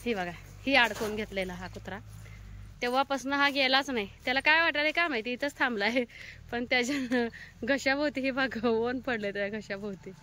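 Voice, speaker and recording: A woman's voice: on the high side, moderate pace, pushed and bright, a little hiss or hum. A woman with a rural Maharashtrian Marathi accent, chatting in a homely, colloquial way as she narrates a family vlog. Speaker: family vlogger. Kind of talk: casual home vlog, chatty cooking narration.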